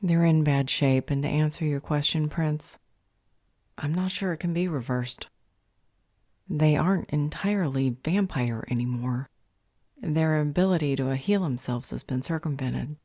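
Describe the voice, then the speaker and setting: A serious sorceress played by a woman speaks very low for a woman, with a dark, mellow tone. Her delivery is measured and clinical, in a close, dry audio roleplay. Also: no echo. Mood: grave, worried. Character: serious, analytical female magic user.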